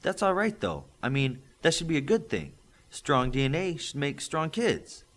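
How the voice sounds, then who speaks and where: A man's voice: a little high, big pitch swings, normal speed, a bit bright, voice pushed up. A man reading the part of an anxious, protective mother, earnest and insistent, in an audiobook. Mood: hopeful.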